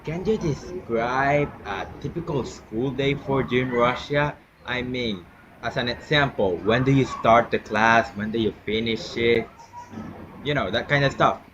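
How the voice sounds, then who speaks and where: Slow-talking teenage Colombian boy host. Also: medium pitch. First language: Spanish. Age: teens. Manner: slow, careful non-native English with restarts. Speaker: student interviewer. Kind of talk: casual school podcast interview. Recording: muffled with noticeable background noise.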